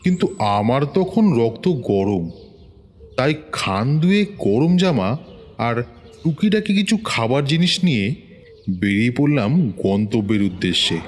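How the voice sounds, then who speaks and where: A man's voice: mid-range voice, big pitch swings. A man playing a self-assured middle-aged village tantric, telling a horror tale dramatically in a West Bengal accent. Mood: grave, reminiscing. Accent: Bengali from West Bengal, India. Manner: boastful, dramatic, unhurried storytelling. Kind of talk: dramatized horror story narration.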